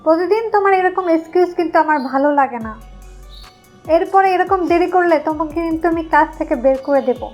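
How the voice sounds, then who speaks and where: A woman's voice: very high-pitched, quick pace, darkish tone. A stern cartoon schoolteacher acted by a woman. She scolds with firm authority over light string music. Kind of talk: children's comedy cartoon acting.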